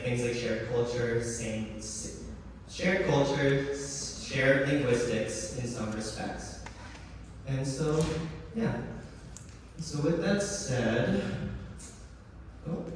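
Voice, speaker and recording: A man's voice: medium pitch, a little hiss or hum. A young man from Hawaii giving a student presentation, talking casually with many fillers and restarts. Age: young adult. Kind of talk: conference presentation. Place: large echoey hall. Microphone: distant room mic. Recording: echoey hall, voice from far off.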